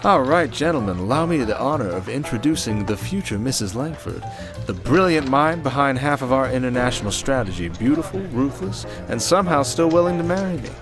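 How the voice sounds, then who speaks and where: Slick male conman, played by an actor, medium pitch, suave, boastful, flirtatious gangster talk, audio roleplay with music underneath. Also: expressive, brisk, natural voice. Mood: charming, flirtatious. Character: smooth, showy male conman posing as high-rolling heir. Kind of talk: scripted audio roleplay acting.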